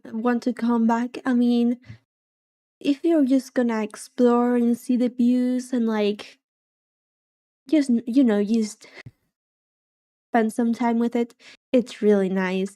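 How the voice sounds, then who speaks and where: A soft-spoken woman streamer with a slightly high, mellow voice chats casually over her gameplay. She rambles easily between thoughts and talks straight to her viewers. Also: level tone, takes it slowly. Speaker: VTuber streamer. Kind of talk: casual chat while gaming.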